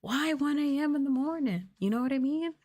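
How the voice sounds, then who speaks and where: A woman speaking softly and casually in an ASMR livestream style, breathy and often slipping into a whisper, close to the microphone. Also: high voice, animated, a little fast. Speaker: VTuber streamer. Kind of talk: ASMR soft talking, casual livestream chat.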